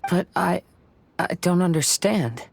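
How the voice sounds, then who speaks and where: A woman voicing the young son of a noble clan in a video game, earnest and unsure, speaking softly and hesitantly. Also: on the low side, animated, slow, gravelly, slight background noise, exaggerated voice. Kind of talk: English video game voice acting. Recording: music behind the voice.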